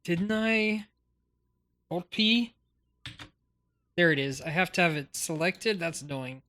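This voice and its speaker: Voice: medium pitch, lively intonation, slow, pushed and bright. A game streamer reading on-screen text aloud and adding casual, wry commentary on a close mic.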